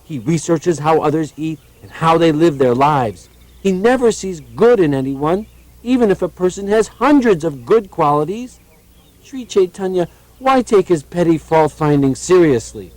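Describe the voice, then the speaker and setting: A man's voice: on the high side, expressive, moderate pace, a little mellow, no room sound, lightly acted. A man playing an earnest, indignant monk in a puppet show, pleading persuasively.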